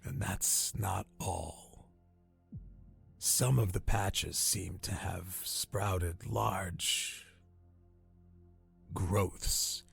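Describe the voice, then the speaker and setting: Gravelly, dying old castaway. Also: male voice, deep voice, a bit bright, soft background music, full character voice. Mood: dread. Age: older. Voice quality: weak, raspy, breathy. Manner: halting, solemn, old-fashioned phrasing. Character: dying old castaway man, weary, haunted, grateful. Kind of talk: radio drama storytelling.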